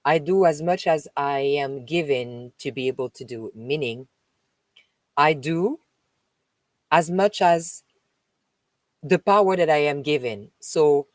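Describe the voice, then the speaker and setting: Slow French-accented woman reading aloud. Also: deep voice, no echo. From France. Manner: slow, emphatic reading broken by chatty asides. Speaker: spiritual live-stream host. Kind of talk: reading aloud with spoken commentary.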